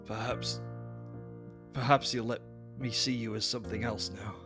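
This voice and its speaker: Actor as dying male soldier, earnest, pleading, faint halting delivery, audio drama, loud musical backing. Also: medium pitch, fairly even intonation, over music, close to an everyday voice. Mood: weak, tender.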